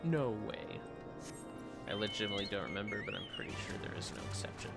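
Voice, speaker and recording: Offhand male streamer with creaky voice, loud music. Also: lowish voice, expressive, fast. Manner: offhand, playful, slangy. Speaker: game livestreamer. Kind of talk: casual gaming livestream commentary. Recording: prominent music behind the voice.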